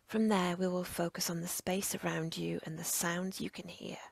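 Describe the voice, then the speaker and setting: A woman with a breathy, husky near-whisper guides a relaxation meditation as a yoga nidra instructor, in short, measured, soothing phrases. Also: on the low side, little pitch movement, dry recording.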